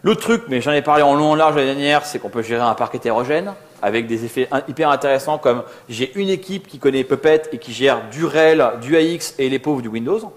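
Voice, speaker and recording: A man's voice: mid-range voice, brisk, few pauses. A man giving an informal tech talk, colloquial and joking, poking fun at himself as he presents. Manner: joking, colloquial, self-deprecating. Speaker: conference speaker, software architect. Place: live talk before an audience.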